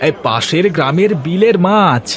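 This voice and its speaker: An obliging village fellow from a cartoon, played by a man, talks in a very high voice. He is chirpy and eager to help. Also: expressive, normal speed, a bit bright, a little hiss or hum. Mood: eager, cheerful.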